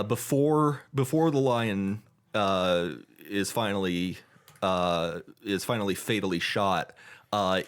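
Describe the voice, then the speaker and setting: A man co-hosting a podcast in casual chat, rambling and halting with many fillers and restarts. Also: fairly low pitch. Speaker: podcast co-host.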